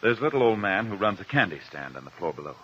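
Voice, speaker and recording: A man's voice: fairly low pitch, sing-song, fast talker, breathy edge. A man playing an earnest, anxious doctor under questioning in a 1950s radio drama, explaining precisely. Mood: careful. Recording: muffled old radio recording.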